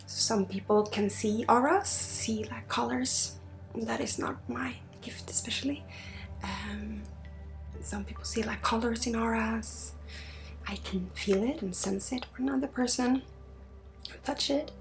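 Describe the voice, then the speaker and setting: Creaky, hushed Swedish woman doing ASMR healing. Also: a little high, music in the background. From Sweden. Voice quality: breathy, often dropping into whisper. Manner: hushed, halting, intimate direct address. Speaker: spiritual healer and ASMR host. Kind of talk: ASMR guided energy healing session.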